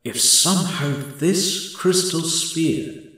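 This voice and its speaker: A man voicing a proud, formal lawyer in a video game fan dub, with a dramatic, measured delivery. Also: fairly high pitch, expressive, a bit bright, a little hiss or hum. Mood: brooding. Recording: strong echo, music behind.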